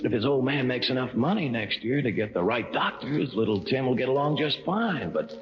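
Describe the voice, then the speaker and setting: An actor playing a teasing, breezy Christmas spirit with colloquial speech in old-time radio acting. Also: male voice, medium pitch, lively intonation, a little fast, lightly acted. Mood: teasing, grave. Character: breezy, needling male Christmas spirit guiding a miser. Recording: muffled old radio recording, loud music. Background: loud music.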